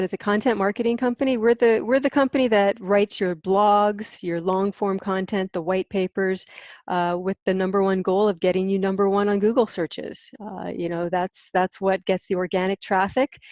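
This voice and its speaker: A woman's voice: medium pitch, a little mellow, no echo, dull audio. A middle-aged American woman from Hawaii answering interview questions in a candid, explanatory, conversational way. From Hawaii, United States. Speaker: interview guest, content marketing business owner.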